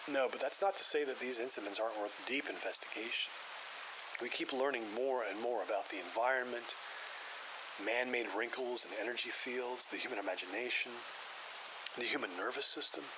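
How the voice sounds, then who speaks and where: A man playing a calm, skeptical paranormal investigator in an audio drama, explaining in a measured, clinical way. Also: mid-range voice, lightly acted. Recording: hiss and rain noise.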